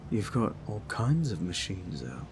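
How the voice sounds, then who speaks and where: Fantasy audio drama: earnest, tired knight-captain played by a man, subdued and deep-pitched, gently halting. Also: very animated, gravelly, over music, heavily acted. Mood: doubtful. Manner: subdued, sincere, hesitant. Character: weary, earnest male human knight-captain.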